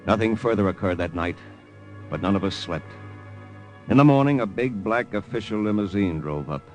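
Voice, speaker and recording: Man acting an American lieutenant over loud music. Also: fairly low pitch, lively intonation, moderate pace, close to an everyday voice. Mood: tense, dramatic. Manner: slangy, blunt, hard-boiled. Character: brash, tough young American lieutenant narrating his adventure. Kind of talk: old-time radio drama narration and acting.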